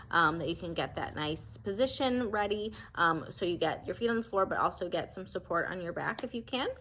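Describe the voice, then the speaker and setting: A woman's voice: mid-range voice, low-quality recording. Woman guiding meditation, some background noise. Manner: gentle, reassuring, permissive instruction. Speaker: guided meditation instructor. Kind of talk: guided grounding body scan meditation.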